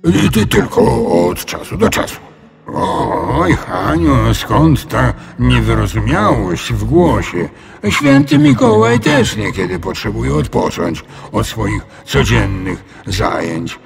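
A big, bumbling dragon from a children's audio story, played by a man. He drawls slowly in a gravelly, swooping voice, friendly but sheepish and hesitant. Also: lowish voice, very animated, crowd noise, full character voice. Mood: defensive.

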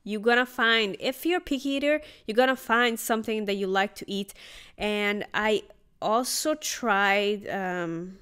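A woman's voice: mid-range voice, a little slow, fairly bright tone, smooth. A woman travel vlogger chatting casually about market food as she tastes it. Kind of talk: casual travel vlog narration. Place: outdoor night market.

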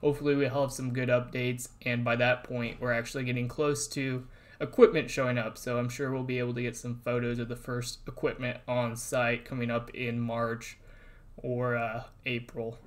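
A man narrating a casual voice-over update on a construction project, explaining relaxed and plainly with frequent ums and uhs. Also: medium pitch, moderate pace. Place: voice-over, not recorded on site.

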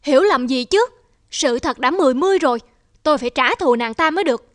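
A woman's voice: high-pitched, lively intonation, fast, no room sound, clean studio sound. A woman voicing an angry, vengeful young goddess in a cartoon, indignant and scolding. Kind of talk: animated cartoon dubbing.

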